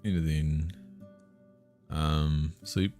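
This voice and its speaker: A man's voice: very deep voice, flat delivery, slow, darkish tone. A man streaming a game, chatting casually and reading aloud, hesitant and muttering to himself. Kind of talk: casual live gameplay chat and read-aloud. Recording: guitar music behind the voice. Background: plucked guitar game music.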